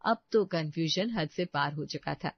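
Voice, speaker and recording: Lady reading out a story, lowish voice, bit dull and very dry recording. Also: moderate pace, dry recording. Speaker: narrator. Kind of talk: scripted storytelling narration.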